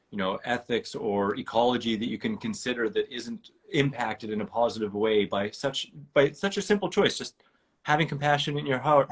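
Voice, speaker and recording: A man hosting an interview, talking in an earnest, rambling and enthusiastic way. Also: mid-range voice. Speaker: podcast host. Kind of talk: casual interview chat.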